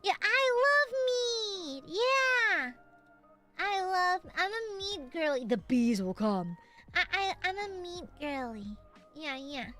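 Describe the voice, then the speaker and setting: A woman's voice: extremely high pitch, very animated, takes it slowly, music in the background. A woman streamer with a nasal voice, chatting casually with her viewers, rambling, giggling and swearing freely.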